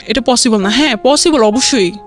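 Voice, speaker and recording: Halting young Bangladeshi woman telling her story. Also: fairly high pitch, soft background music. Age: young adult. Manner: halting, rambling, repeats filler phrases. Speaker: guest telling own life story. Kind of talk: personal storytelling in an interview.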